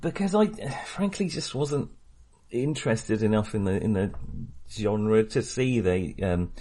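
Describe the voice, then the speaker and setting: A man's voice: lowish voice, lively intonation, a little slow, warm tone. A man co-hosting a film podcast in casual discussion, talking hesitantly, often interrupting himself and rambling.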